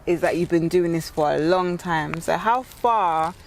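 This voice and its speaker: Expressive London woman interviewer, noise behind. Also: fairly low pitch, measured pace, creaky. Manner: expressive, emphatic, repeats phrases. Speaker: interview host. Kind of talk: casual conversational interview.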